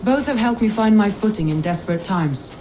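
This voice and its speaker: A woman's voice: mid-range voice, lively intonation, fairly quick, dark tone, a little hiss or hum, close to an everyday voice, low-quality recording. A woman playing a proud, resolute Danish warrior leader, loyal to her people, in dramatic video game dialogue. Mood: measured, fond. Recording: music behind the voice.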